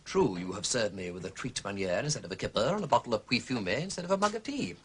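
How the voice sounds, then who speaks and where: An actor playing a jaunty, quick-witted gentleman sleuth with an upper-class English accent and breezy, theatrical phrasing in a period detective drama. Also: fairly low pitch, very animated, slight background noise.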